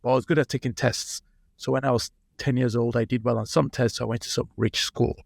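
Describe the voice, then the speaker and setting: A man in his late thirties from Nigeria, telling relaxed stories as a founder in a casual podcast interview. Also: mid-range voice, quick pace, creaky, no echo, clean studio sound. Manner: relaxed anecdotal storyteller. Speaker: startup founder, guest.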